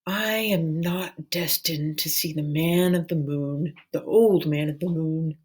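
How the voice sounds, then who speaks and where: Woman reader as a big gentle dragon, male, slow, earnest, melancholy, loyal, storybook character. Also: deep voice, slightly rough, heavily acted. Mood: downcast, resigned. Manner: slow, earnest, simple delivery.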